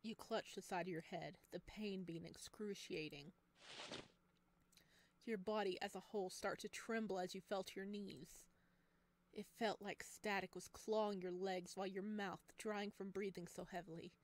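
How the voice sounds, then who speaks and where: A woman reading a visual novel aloud as a let's player, expressive, with casual chatty reactions. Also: mid-range voice, moderate pace. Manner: expressive, exclaims and chats between read passages. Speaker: video game let's player.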